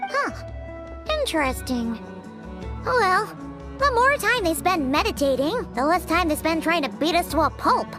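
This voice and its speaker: A tiny fairy-like girl sidekick from a video game. The pitch is sky-high and swoops with every exclamation. The temper is cheeky and blunt, over loud backing music. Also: lively intonation, moderate pace. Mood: musing. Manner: refers to self in third person, exclaiming.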